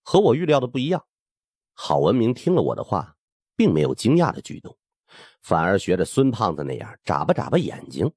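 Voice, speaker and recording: Low, very animated male audiobook narrator. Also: brisk, breathy edge, no room sound, clean studio sound. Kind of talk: dramatic scripted narration of suspense fiction. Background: background music.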